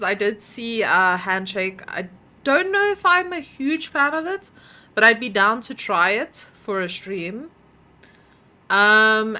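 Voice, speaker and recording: A woman's voice: fairly high pitch, sharp tone. A woman streamer casually commenting on her game, muttering to herself while solving puzzles and chatting with viewers.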